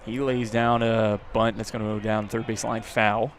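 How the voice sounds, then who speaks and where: A man's voice: lowish voice, level tone, normal speed. Male baseball announcer over outdoor background noise. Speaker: play-by-play announcer. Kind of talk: live baseball radio play-by-play commentary. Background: outdoor ballpark ambience.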